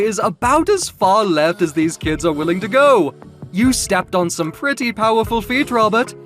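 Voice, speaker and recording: A man voicing an elegant, camp fashion boss in an audio drama, effusive, smirking and condescending, with drawn-out emphasis. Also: high voice, fairly bright tone, voice pushed up, heavily acted.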